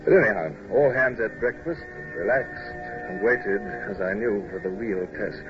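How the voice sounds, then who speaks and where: An actor playing a middle-aged British naval commodore in an old-time radio drama, clipped, commanding and urbane, who also narrates the battle. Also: male voice, lowish voice, animated, normal speed, over loud music, close to an everyday voice. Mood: grave narration. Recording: dull, noisy old radio broadcast recording.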